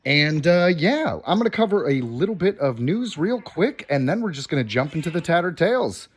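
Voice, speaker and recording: A man's voice: on the high side, big pitch swings, normal speed, breathy edge. Casual man podcasting from a hotel room. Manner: informal, addressing listeners directly as guys. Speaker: podcast host. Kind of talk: casual solo podcast chat with news updates. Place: hotel room while on vacation.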